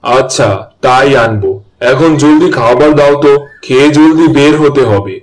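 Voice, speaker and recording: A man playing an earnest, kindly village husband and fisherman in a fairy-tale cartoon, with an expressive, exclaiming delivery. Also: mid-range voice, moderate pace, natural voice, poor audio. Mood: easygoing.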